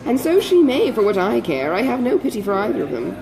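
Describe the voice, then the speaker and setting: Woman voicing an animated, gossipy older society lady. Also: a little high, quick pace, vocal fry, rough recording. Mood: indignant. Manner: breathless, exclamatory, chatty gossip. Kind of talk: dramatised audiobook reading of a classic novel.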